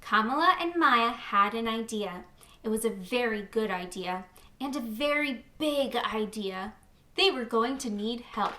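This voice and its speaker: A woman reading a picture book aloud to children, expressively and deliberately, stretching out key words. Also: on the high side, lively intonation, sharp tone. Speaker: children's read-aloud host. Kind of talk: animated storybook read-aloud for children.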